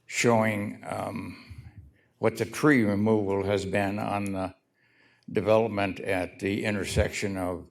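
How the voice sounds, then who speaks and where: A man giving a halting, pointed public comment at a city meeting, speaking into a podium microphone in a council chamber. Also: fairly low pitch, little pitch movement, creaky.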